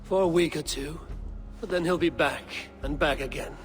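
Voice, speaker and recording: An actor playing a proud, loyal Viking warrior in a video game, speaking in solemn, measured, old-fashioned phrases. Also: male voice, on the high side, close to an everyday voice. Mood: grave, determined. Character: loyal battle-hardened male Viking warrior, proud and resolute. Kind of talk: dramatic video game acting. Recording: music behind the voice.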